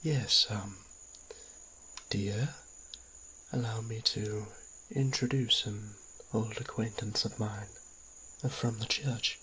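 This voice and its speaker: Guy acting a nervous gentle vampire priest, really breathy and husky, slow hesitant polite talk, audio drama. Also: lowish voice, steady tone, exaggerated voice, low-quality recording. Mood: flustered, awkward. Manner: hesitant, flustered, politely formal. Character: Otavon, gentle anxious male vampire priest. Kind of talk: scripted audio roleplay acting.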